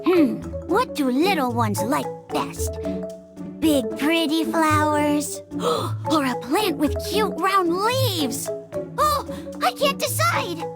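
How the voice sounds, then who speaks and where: Game casting: tiny self-important boy prince, high and swooping, unhurried grand delivery, music underneath. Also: big pitch swings, full character voice. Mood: gushing indecision. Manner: grandiose, slow, theatrical proclamations.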